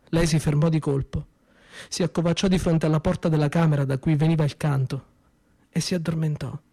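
A man performing a theatrical narrative monologue with expressive, deliberate phrasing and pauses. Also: a little high, vocal fry. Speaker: storyteller-actor.

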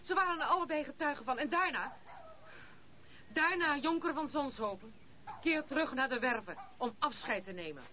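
Through a thin, phone-like and faintly hissy old radio recording comes a proud young noblewoman. She is troubled and guarded, halting as she speaks, with defiance in her radio-play delivery. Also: sits high, animated. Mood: bitter, defensive. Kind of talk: Dutch radio play acting.

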